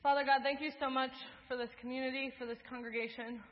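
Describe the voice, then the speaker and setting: Young woman preacher, earnest measured delivery, fairly high voice, dull sound with long church echo. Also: flat delivery. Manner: earnest, measured, with list-like cadences. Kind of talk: sermon. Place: church sanctuary. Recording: dull sound, echoing in a large hall.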